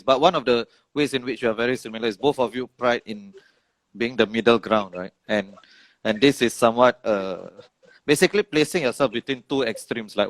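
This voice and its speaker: A man's voice: mid-range voice, a bit bright, no echo, low-quality recording. A man with a Singaporean accent hosting a podcast interview, asking questions with lots of hesitations and restarts. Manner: hesitant, many fillers and restarts, quick to laugh. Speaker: podcast host, political science professor.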